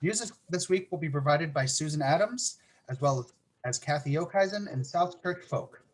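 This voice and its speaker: A man with a fairly high-pitched voice welcomes people to a church service. He speaks as a worship associate, stumbling a little over names and words. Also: normal speed.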